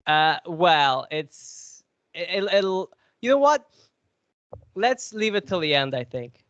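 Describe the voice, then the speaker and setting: A man's voice: high voice, lively intonation, takes it slowly, a bit bright, no echo, highs cut off. A man with a cold, sniffly, chatting and joking as he hosts a podcast quiz. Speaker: podcast co-host. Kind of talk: casual podcast chat.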